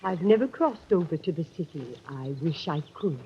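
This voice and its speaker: An actress playing a demure, mysterious young woman with a formal, measured manner in 1940s radio style. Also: sits low, animated, husky, full character voice. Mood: wistful, submissive. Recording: muffled, noisy old radio recording.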